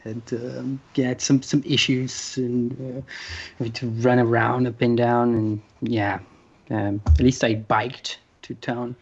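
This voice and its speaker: A man chatting as a guest on a panel, sounding tired and halting, with many fillers. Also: medium pitch, flat delivery, dull audio, slight background noise. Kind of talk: casual chat.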